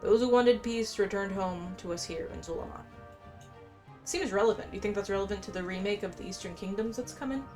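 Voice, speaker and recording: A woman's voice: medium pitch, fairly quick, a little mellow. A woman doing casual gameplay commentary, chatty and musing as she plays and reads quest text aloud. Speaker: gameplay streamer. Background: faint game music.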